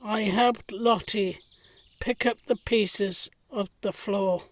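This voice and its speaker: A woman's voice: mid-range voice, fairly even intonation, slow, dry recording. A woman playing an earnest, helpful servant in a 1919 period audio drama.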